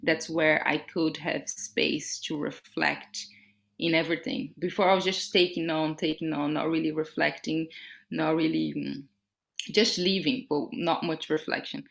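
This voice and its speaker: Brazilian woman, slightly low-pitched, conversational. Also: steady tone, creaky. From Brazil, born in Salvador and raised in São Paulo. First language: Portuguese. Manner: halting, searching for words with repetitions. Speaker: dance artist being interviewed. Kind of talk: reflective conversational interview about own life.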